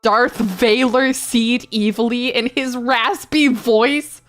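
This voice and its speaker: A woman's voice: a little high, fairly even intonation, slow pace, soft background music. A woman narrating a comic dramatic reading of fanfiction for a livestream in a giggly, theatrical manner.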